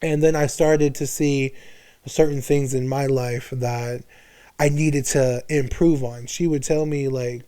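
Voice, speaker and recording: A man's voice: mid-range voice, fairly even intonation, measured pace, warm tone, smooth. A man in his twenties talking candidly and casually as a podcast guest, using slang and swearing freely. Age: 20s.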